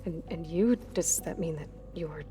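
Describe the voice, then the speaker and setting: An actress playing a determined young huntress who asks direct, probing questions in a game cutscene. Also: female voice, low voice, moderate pace, mellow, soft background music, natural voice. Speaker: game protagonist. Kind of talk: video game cutscene acting.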